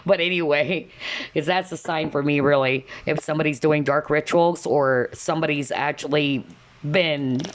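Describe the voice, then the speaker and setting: A woman's voice: low voice, slight background noise. A woman giving a casual tarot reading to viewers, chatty and full of asides, her voice slightly nasal as she loses it. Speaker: tarot reader.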